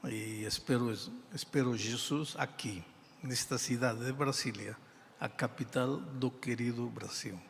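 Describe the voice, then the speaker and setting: An older man with a non-native accent in Portuguese, preaching a sermon in slow, deliberate phrases with emphatic pauses. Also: medium pitch, vocal fry, a little hiss or hum. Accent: non-native speaker of Portuguese. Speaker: pastor. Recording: reverberant hall sound.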